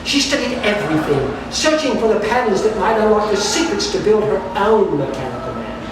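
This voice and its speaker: A storyteller reads a steampunk fairy tale aloud to a live gathering, occasionally tripping on a word and repeating it. The voice sits at some distance from the mic in a heavily echoing space, with clear noise behind it. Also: medium pitch, big pitch swings, fairly quick. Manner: narrative reading with stumbles and repeated words. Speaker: author reading at a live storytelling event. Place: live event space at a carnival. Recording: very echoey, noisy room, distant mic.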